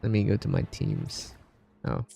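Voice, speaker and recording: A man from the Philippines livestreaming a game with casual, halting commentary, half muttering to himself. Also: low voice, wildly swooping pitch, gravelly, mellow. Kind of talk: casual live gaming commentary. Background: game music.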